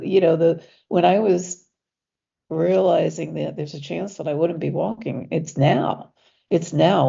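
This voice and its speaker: A woman with a low, gravelly voice, stuffy from allergies, chatting as a guest on a lo-fi call. Her tone is mellow and husky, with small laughs and drawn-out stressed words. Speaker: guest coach. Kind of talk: casual interview conversation.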